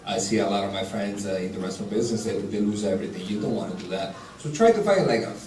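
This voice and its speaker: A man's voice: fairly low pitch, animated, fast, darkish tone. A man from Italy with an Italian accent, an executive chef giving blunt, practical advice on a panel in a large hall. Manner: direct, practical, with broken grammar. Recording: echoey hall, distant mic, light noise.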